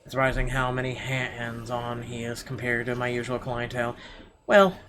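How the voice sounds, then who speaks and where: A male gaming host reads story text aloud and slips into casual commentary. His voice is mid-pitched, with some background noise on the recording. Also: fairly even intonation, normal speed, a bit bright. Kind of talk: reading game narration aloud with casual asides.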